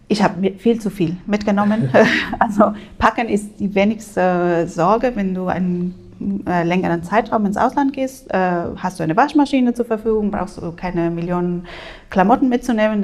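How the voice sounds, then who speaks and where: A woman from Spain speaks German, answering as an exchange program advisor. Her voice has a gravelly creak, and she hesitates often, filling pauses with filler sounds and making small slips in grammar. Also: mid-range voice, darkish tone. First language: Spanish. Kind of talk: interview answers. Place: language school room.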